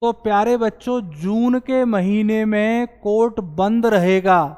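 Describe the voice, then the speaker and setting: A man teaching as an exam coaching teacher, explaining recruitment news to students in an earnest, explanatory way and slowing down to stress his points. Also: high voice, steady tone, a little mellow, smooth, big-room sound.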